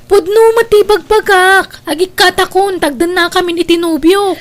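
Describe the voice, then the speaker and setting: A woman's voice: extremely high pitch, steady tone, moderate pace. A woman playing a stubborn, insistent young college daughter in a Filipino radio drama.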